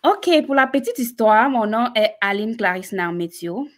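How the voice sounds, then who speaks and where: A woman's voice: on the high side, expressive, normal speed. Cameroonian woman telling her story. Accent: Cameroonian French. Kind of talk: live-stream personal storytelling.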